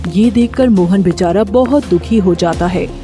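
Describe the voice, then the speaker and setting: Female storyteller for scripted narration; mellow, mid-pitched voice with light background music. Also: moderate pace.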